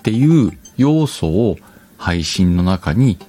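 A man's voice: low-pitched, very animated, slow, dark tone, faint music behind. A man hosting a casual advice talk, explaining conversationally and questioning his own phrasing as he thinks aloud. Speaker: podcast host.

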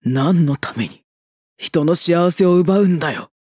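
A man's voice: a little high, unhurried, breathy edge, no room sound, clean studio sound. An actor playing an anguished, defiant young man bent on revenge in an intense audio drama, speaking earnestly. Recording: voice over loud dramatic music.

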